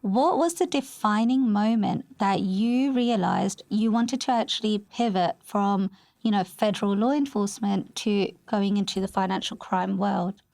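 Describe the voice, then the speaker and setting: A woman's voice: mid-range voice, unhurried, creaky, darkish tone, no room sound. A woman hosting a podcast interview, talking in an enthusiastic, effusive way. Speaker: podcast host. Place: recording in New York.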